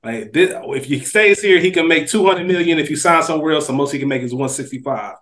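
A man's voice: on the high side, fast talker, fairly bright tone. A man co-hosting a podcast in casual chat, slangy and emphatic. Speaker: podcast co-host.

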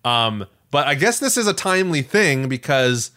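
A man's voice: medium pitch, big pitch swings, normal speed, pushed and bright. A man in a casual podcast chat as co-host, thinking aloud a little hesitantly.

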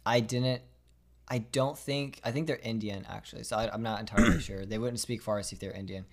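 A man's voice: medium pitch, fairly quick, vocal fry. Easygoing man hedging and self-correcting. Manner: laughs often, hedges and corrects himself. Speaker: podcast co-host. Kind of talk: casual podcast chat.

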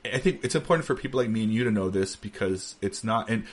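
A man in his thirties hosting a podcast, chatting casually and acting out quoted lines as he tells stories. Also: fast talker. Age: 30s. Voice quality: deep voice with a beard. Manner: animated storyteller who acts out quotes. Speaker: podcast host. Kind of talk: casual chat.

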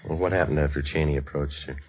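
An actor playing a terse, matter-of-fact police detective in a 1950s radio drama, clipped and deadpan. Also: male voice, a bass voice, fast talker. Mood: businesslike. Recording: dull old radio recording with music.

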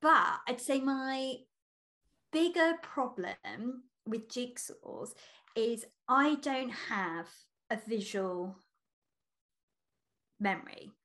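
Female podcast co-host, high and very lively, conversational and expressive. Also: extremely slow, pauses often. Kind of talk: casual chat between friends.

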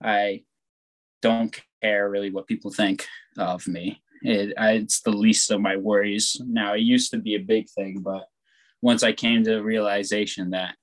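A young man chatting casually, rambling and laid-back, who swears freely and talks loud. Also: on the low side, low-quality recording. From born on the West Coast, raised on the East Coast of the US. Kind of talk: casual chat.

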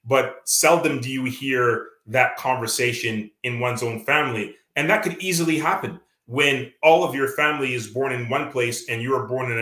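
A man's voice: mid-range voice, bright, forceful tone, reverberant. A Canadian man with a Canadian accent, an author speaking earnestly in an interview, with many fillers and restarts. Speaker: author guest. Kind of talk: reflective interview talk.

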